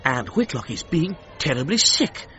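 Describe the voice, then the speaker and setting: An actor playing an excitable 1930s British sports commentator with a British accent, calling a race for radio in a clipped, descriptive way. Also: male voice, on the high side, normal speed. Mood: concerned. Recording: dull noisy vintage-style radio broadcast.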